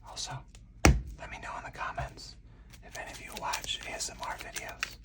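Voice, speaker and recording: Someone speaking in a breathy, deliberate, hushed whisper, in the style of an ASMR game playthrough with commentary. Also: recorded from a distance. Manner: slow, deliberate, hushed delivery. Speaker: solo card game player and host.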